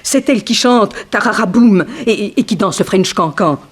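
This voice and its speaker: Woman acting a lively, frank young dancer. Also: medium pitch, normal speed, lightly acted. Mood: disdainful, gossipy. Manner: theatrical, expressive, gossipy. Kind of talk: early 1960s French radio mystery acting.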